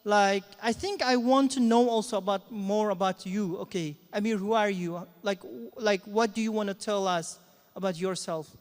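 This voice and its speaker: A man who speaks English as a second language, moderating a panel informally with halting, repetitive phrasing. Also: high-pitched, fairly even intonation. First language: not English. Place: event hall at a live panel. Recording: echoey hall with long reverb.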